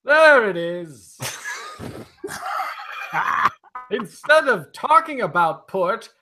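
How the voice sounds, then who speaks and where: A man's voice: high voice, sing-song, extremely slow, over music, full character voice, rough recording. A man playing a touchy, jocular announcer in a comic old-time radio show, teasing and prompting a storyteller. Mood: jocular, inviting.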